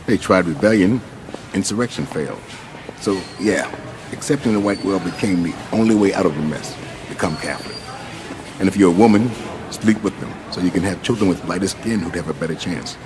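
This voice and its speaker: Actor as earnest local guide, very low voice. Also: male voice, fairly quick, background noise, natural voice. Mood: earnest explaining. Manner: explanatory, storytelling, earnest. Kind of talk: dramatic radio play acting.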